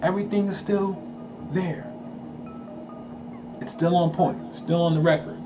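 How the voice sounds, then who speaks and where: A fairly high-voiced man reads passages aloud, then stops to unpack them in a loose, slangy way. He keeps asking whether listeners follow him. Music plays clearly underneath his voice. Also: fairly even intonation, a little slow. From Haiti. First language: Haitian Creole. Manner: emphatic, checks in with listeners, slangy asides. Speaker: channel host. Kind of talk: casual commentary reading aloud from a document.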